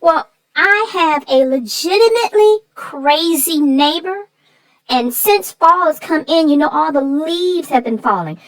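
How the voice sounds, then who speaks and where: Lady doing a sassy feisty co-host gal, real high voice, swoops around, folksy and emphatic. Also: lively intonation, rough recording. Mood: indignant, scheming. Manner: folksy, emphatic, drawn-out storytelling. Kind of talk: scripted chatty banter about a children's book.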